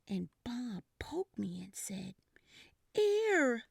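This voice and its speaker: A woman hosting children's storytime, reading a picture book aloud in an expressive, animated way with breathy whispered stretches. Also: on the high side, takes it slowly, no room sound, clean studio sound.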